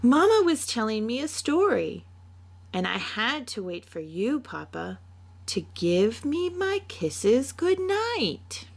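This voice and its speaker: An eager little storybook girl, voiced by a woman reader, pitched well above an adult voice. She is sweet, curious and adoring, with a slightly husky edge. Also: very animated, slow pace, exaggerated voice. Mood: excited, adoring. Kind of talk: storybook character voice.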